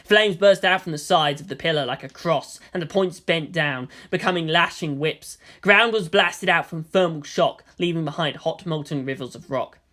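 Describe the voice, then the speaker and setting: Bright, forceful female story narrator. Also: sits low, normal speed, few pauses. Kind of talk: dramatic narration of fan fiction.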